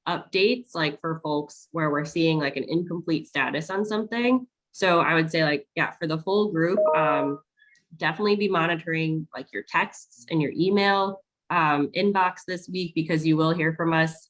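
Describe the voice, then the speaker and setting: A woman's voice: sits low, moderate pace, fairly bright tone. A woman hosting a virtual town hall on a video call, explaining onboarding steps in a friendly, casual way with many ums. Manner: friendly, filler-heavy explaining. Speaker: onboarding staff host.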